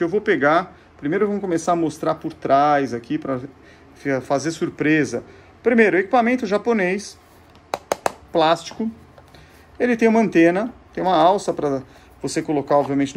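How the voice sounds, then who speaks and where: A man's voice: a little high, expressive, moderate pace. A man with a Brazilian Portuguese accent presenting in an informal, didactic way, often asking himself questions and then answering them. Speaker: presenter of a show on vintage gadgets. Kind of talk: informal explanatory demonstration.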